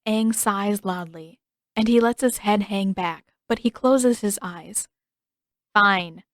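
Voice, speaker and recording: A woman reading story narration in a measured, expressive storytelling manner. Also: mid-range voice, no echo, studio quality. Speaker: fan fiction narrator.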